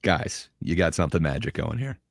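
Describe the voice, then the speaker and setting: A man's voice: sits low, expressive, a little fast, creaky, no room sound, studio quality. A man chatting casually as a panelist on a livestream.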